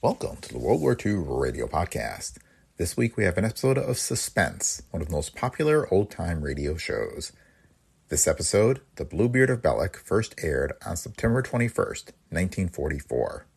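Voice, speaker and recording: A man's voice: sits low, very animated, moderate pace, creaky, a little mellow, breathy edge. A man reading a scripted introduction as a podcast host. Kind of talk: scripted narration.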